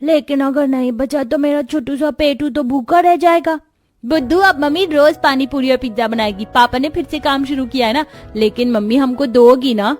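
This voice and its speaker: A woman's voice: high voice, moderate pace, lightly acted. A woman voicing an eager, wheedling, hungry little child in a cartoon story. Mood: curious, hopeful. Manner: excited, wheedling. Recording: music behind the voice.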